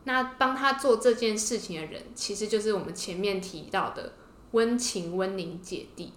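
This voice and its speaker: Female podcast host, Taiwanese Mandarin accent, mid-pitched, casual explanatory storytelling, roomy echo. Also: moderate pace, a bit bright. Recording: roomy, echoing podcast room.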